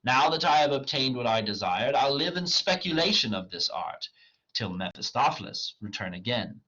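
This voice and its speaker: A man's voice: medium pitch, sing-song, normal speed, sharp tone, dull audio, voice pushed up. A man voicing a proud, doomed scholar-conjurer in an Elizabethan tragedy, grand and declamatory. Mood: boastful.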